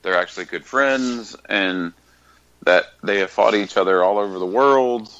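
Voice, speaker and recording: A man co-hosts a wrestling podcast with dry, teasing humor. His voice is low but bright and forceful. The audio sounds dull and lo-fi. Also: normal speed, dry recording. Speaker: podcast co-host. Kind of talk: casual chat.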